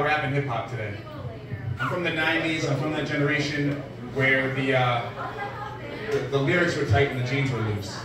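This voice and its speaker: A man in his forties doing a stand-up set at an open mic, delivering deadpan one-liners with lots of filler pauses. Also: mid-range voice. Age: 40s. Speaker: stand-up comedian. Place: small dim bar stage with a live audience. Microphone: handheld mic, filmed from far back in the room. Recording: echoey bar room heard from far off. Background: audience laughter and bar crowd.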